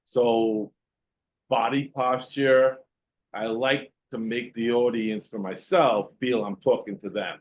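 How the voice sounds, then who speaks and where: A man asking questions in an online meeting, with a loud voice and many fillers and pauses. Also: medium pitch, steady tone, highs cut off, a little hiss or hum. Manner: loud voice, talks with hesitations and fillers. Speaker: workshop participant.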